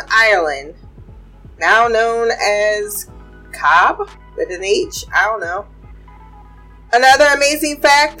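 Bright high-voiced woman chatting. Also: low-quality recording. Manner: chatty, blunt, swears freely. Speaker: podcast host. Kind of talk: casual pop culture chat and fun facts.

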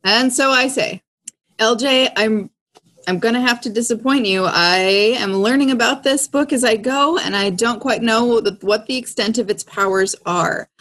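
A woman's voice: fairly high pitch, fairly bright tone. A woman playing a tabletop role-playing game, talking casually and describing what her character does.

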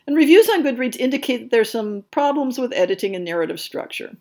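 A woman hosting a podcast, delivering scripted book news in an articulate, measured way with bookish phrasing. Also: on the high side, expressive, warm tone. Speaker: podcast host.

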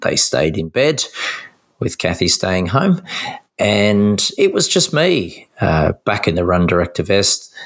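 Deep-voiced Australian man, slightly croaky. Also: big pitch swings. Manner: chatty, halting with many fillers. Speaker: podcast co-host. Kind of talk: casual chat.

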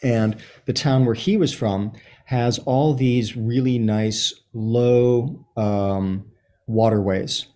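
A man in his fifties with an American accent, answering interview questions in a thoughtful, halting, storytelling way. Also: medium pitch, fairly even intonation, darkish tone. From Los Angeles, USA, born in Chile. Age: 50s. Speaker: interview guest, soccer writer and supporter. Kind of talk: reflective conversational interview answers.

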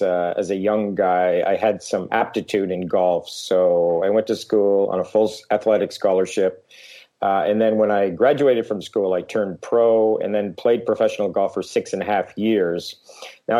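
A middle-aged man recovering from a cold, speaking as a guest coach in a relaxed, steady way, with frequent fillers. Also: lowish voice. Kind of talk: casual interview talk.

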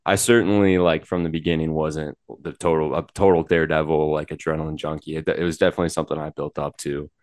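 A man from Wisconsin with a very deep voice, a little sick, chatting easily as an interview guest. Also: level tone, fast, few pauses, darkish tone, no room sound. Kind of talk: casual interview chat.

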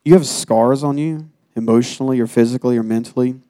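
A man's voice: mid-range voice, fast talker, warm tone. A man giving a sermon as personal testimony in an earnest, exhorting manner. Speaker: guest preacher giving a testimony.

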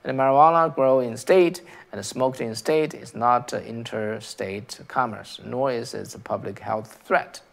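A man from China with a Chinese accent, hosting a political commentary stream and reading out viewer comments, talking in halting bursts with restarts and rhetorical questions. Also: medium pitch, fairly even intonation, vocal fry.

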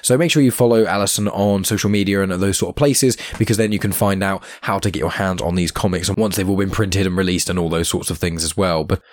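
A man in his twenties hosting a podcast interview, chatty and quick to laugh, his voice a little croaky. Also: deep voice, no echo. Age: 20s. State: slightly croaky, losing his voice. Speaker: podcast host. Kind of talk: casual interview chat.

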